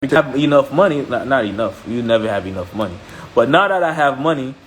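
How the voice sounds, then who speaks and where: A man's voice: medium pitch, animated, fast, soft background music. A man from Haiti speaking as a trading mentor on a live stream, blunt and emphatic, repeating points and checking in with listeners. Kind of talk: motivational live-stream talk.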